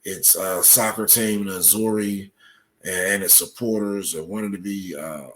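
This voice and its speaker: Low-voiced man explaining, measured and halting. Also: fairly even intonation, fairly bright tone. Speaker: podcast guest, researcher. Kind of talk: explanatory interview talk.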